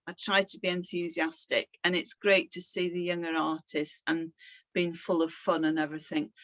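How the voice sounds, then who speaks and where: A woman's voice: medium pitch, a bit bright, dry recording. Chatty woman giving an artist's talk. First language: English. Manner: chatty and self-deprecating, laughs often. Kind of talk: casual presentation.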